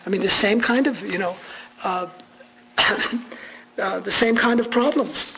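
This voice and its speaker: A man's voice: high-pitched, takes it slowly, creaky, a crowd in the background, rough recording. A man whose first language is Armenian, giving a conversational public lecture with frequent restarts and fillers.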